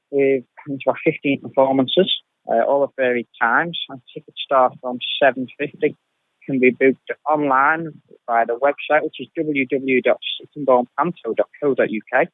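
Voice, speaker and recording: A man's voice: medium pitch, no echo. A man being interviewed on radio as a show producer, talking informally and often repeating words as he restarts. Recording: thin phone-like radio interview sound.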